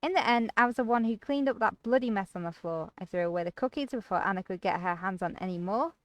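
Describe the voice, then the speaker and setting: A woman's voice: mid-range voice, expressive, fast, no room sound. A woman streamer reading visual novel narration expressively, with casual asides to viewers. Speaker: game streamer.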